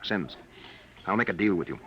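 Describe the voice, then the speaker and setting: A man's voice: low-pitched, lively intonation, normal speed. An actor in a 1950 radio drama playing a cornered male scientist on the run, earnest and protective. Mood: tense. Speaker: William Travis. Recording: muffled old radio broadcast.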